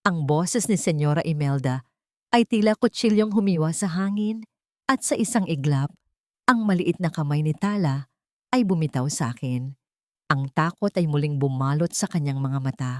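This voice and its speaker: A woman with a Filipino accent narrating a dramatic first-person story in measured, solemn, deliberate phrases. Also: low voice, a little mellow, no room sound, clean studio sound. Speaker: audiobook narrator.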